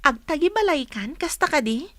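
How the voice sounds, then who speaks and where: A woman plays a friendly young restaurant worker in a radio drama. Her voice is high, curious and Ilocano-accented. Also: big pitch swings, moderate pace, a bit bright, no echo. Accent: Ilocano Filipino. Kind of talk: Filipino radio drama acting.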